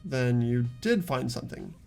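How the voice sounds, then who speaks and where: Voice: medium pitch, expressive, moderate pace, gravelly, a little mellow, faint music behind. A performer playing an earnest, inquisitive teenage schoolboy in game dialogue. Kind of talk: fan dub of visual novel game dialogue.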